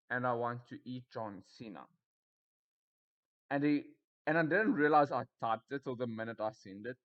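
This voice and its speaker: A young man talks in rambling, casual commentary that breaks into loud disbelief. His voice is bright and forceful, and the sound comes through a muffled, lo-fi mic. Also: mid-range voice. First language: Afrikaans. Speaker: YouTuber reviewing Reddit posts. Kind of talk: casual commentary reading posts.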